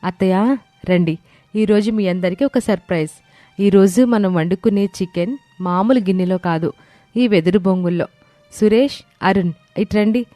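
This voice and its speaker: A woman playing a calm, encouraging village daughter-in-law in a story. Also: medium pitch, normal speed, long pauses, dry recording, soft background music, natural voice. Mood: cheerful.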